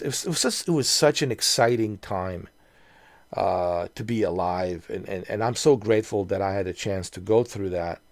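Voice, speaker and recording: A man's voice: fairly low pitch, darkish tone, no echo. A man in his mid-forties talking casually to his viewers in a rambling way, with many restarts and fillers. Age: 40s. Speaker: video creator. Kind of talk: casual nostalgic vlog monologue.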